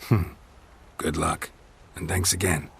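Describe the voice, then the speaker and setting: An actor voicing a gruff, stoic monster hunter in a video game, gravelly and hushed. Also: male voice, sits low, fairly even intonation, slow pace, slight background noise, heavily acted. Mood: quietly grateful. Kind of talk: dramatic video game voice acting.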